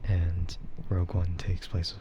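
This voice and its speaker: A man with a low, soft voice chats in an easygoing ASMR style. He rambles hesitantly at a slow pace and often drops into a whisper. The audio is muffled, with clear noise behind him. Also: monotone. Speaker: VTuber streamer. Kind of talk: soft ASMR casual chat.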